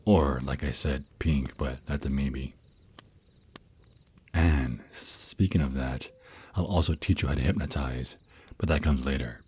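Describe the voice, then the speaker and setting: Man playing a very deep, husky vampire naga boyfriend. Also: darkish tone, full character voice. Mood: reassuring, playful. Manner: slow, soothing, teasing endearments. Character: centuries-old male vampire naga boyfriend, tender mentor. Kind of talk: ASMR roleplay, intimate monologue.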